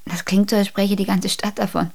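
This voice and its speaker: A woman's voice: mid-range voice, little pitch movement, a little fast, gravelly, a little mellow. An actress playing a guarded, listless and prickly young woman, terse and defensive, in a naturalistic radio drama. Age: young adult.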